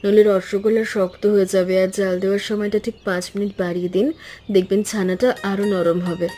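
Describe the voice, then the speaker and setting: A woman's voice: medium pitch, level tone, a little fast, dark tone, lightly acted. A woman playing a modest, guarded young woman in a cartoon, speaking in a polite, reserved way. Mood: helpful, instructive. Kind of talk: cartoon voice acting. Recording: music under the voice.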